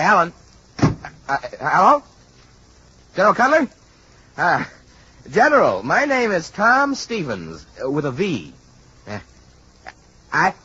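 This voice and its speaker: An actor playing a brash, self-seeking, excitable American man with a pushy, punchy manner in a 1950s radio drama. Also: high-pitched, husky, full character voice. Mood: excited. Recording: dull, noisy old radio recording.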